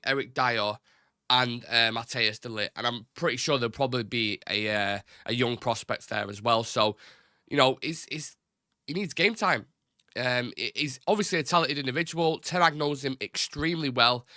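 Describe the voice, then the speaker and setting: A man's voice: mid-range voice, bright, forceful tone, no room sound, clean studio sound. A young man with a blocked, nasal voice, hosting a casual football live-stream chat. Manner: rambling, chatty, informal. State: congested, blocked nose. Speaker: football channel host.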